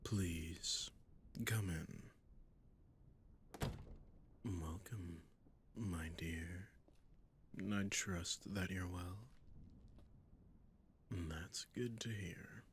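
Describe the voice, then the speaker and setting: A suave, sadistic male vampire voiced by a man speaks in an intimate audio roleplay. His breathy voice is deep and unhurried, teasing his prey with formal courtesy and menace. A faint rumbling noise sits behind him. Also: fairly bright tone, exaggerated voice. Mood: courteous, predatory. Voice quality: low, breathy, gravelly.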